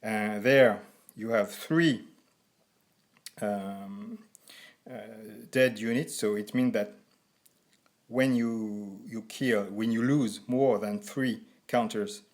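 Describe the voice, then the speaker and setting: A man in his forties with a French accent, haltingly explaining a board game in non-native English as a reviewer. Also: fairly low pitch. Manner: halting, deliberately slow speech for learners.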